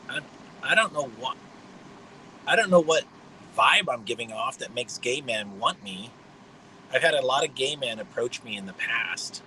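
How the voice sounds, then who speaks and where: A man in his fifties with a high voice, hosting a rambling livestream monologue in a blunt, mocking way. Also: sharp tone, slight background noise, poor audio. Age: 50s. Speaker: livestream host.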